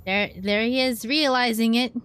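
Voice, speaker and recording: A woman's voice: on the high side, normal speed, fairly bright tone, a little hiss or hum. Woman streamer, casual and thinking aloud. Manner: thinking aloud in broken, trailing phrases. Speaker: streamer playing a game. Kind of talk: casual gameplay commentary, reading clues aloud.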